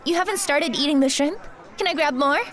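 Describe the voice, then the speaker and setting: A woman's voice: high voice, a little fast, a bit bright, a faint crowd. A woman playing a naive, gluttonous young woman in an audio drama, childlike, wide-eyed and blunt in manner. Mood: greedy delight.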